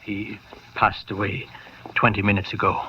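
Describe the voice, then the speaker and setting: A male actor playing a kindly, grave family doctor, gentle and solemn, in 1950s radio drama style. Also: on the low side, little pitch movement, moderate pace, lightly acted. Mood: solemn, consoling. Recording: muffled, noisy vintage radio recording.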